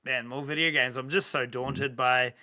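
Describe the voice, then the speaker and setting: A man co-hosting a podcast, chatting in a relaxed way and laughing often. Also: medium pitch, normal speed. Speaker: podcast co-host. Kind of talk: casual chat.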